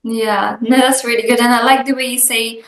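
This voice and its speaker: Woman, interviewer, chatty, "like" fillers, fairly high voice, echoey room. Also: level tone, brisk, a bit bright. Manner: chatty, loose phrasing with "like" fillers. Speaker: interviewer, host. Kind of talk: casual interview questions mixing English and Hindi. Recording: roomy echo.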